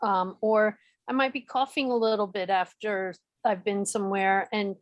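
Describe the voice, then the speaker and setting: A woman and mother talking on a discussion panel, measured and calm, pausing between short phrases. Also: medium pitch, dry recording, dull audio.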